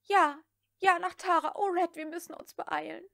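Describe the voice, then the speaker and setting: A woman's voice: very high voice, fairly even intonation, moderate pace, creaky, sharp tone, no echo, clean studio sound, pitched above the performer's own voice, exaggerated voice. A woman voicing a desperate, willful young woman in an audiobook, urgent and prone to shrieking. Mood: desperate, insistent. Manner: urgent, shrieking when pushed. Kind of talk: dramatic audiobook character reading.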